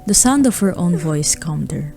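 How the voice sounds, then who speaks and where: A woman narrating a radio drama, reading scripted literary prose in a measured way. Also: low-pitched, lively intonation, creaky, mellow, soft background music.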